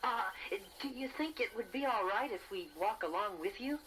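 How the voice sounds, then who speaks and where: A man's voice: high-pitched, expressive, normal speed, fairly bright tone. A man voicing a friendly, earnest young bear who tells his adventure in a children's read-along storybook. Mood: earnest, curious. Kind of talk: 1980s children's read-along narration and dialogue. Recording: very noisy old cassette transfer.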